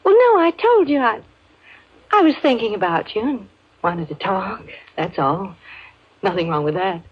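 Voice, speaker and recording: A woman's voice: medium pitch, expressive, a little slow, husky. A woman playing an anxious, doting suburban mother in 1950s radio drama style, speaking hesitantly and trailing off. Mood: uneasy, reassuring. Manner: hesitant, breaking off mid-sentence. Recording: muffled, noisy 1950s radio recording.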